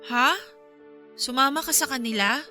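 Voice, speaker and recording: Bright-voiced, plaintive young Filipina wife. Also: female voice, high voice, normal speed. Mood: hurt, reproachful. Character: hurt, neglected young wife and mother confronting husband. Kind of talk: Filipino radio drama acting. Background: music.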